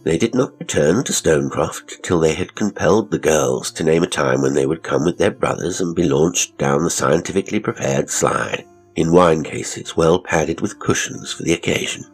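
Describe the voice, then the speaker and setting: Audiobook narrator, man: deep raspy voice for period literary fiction, scripted storytelling delivery.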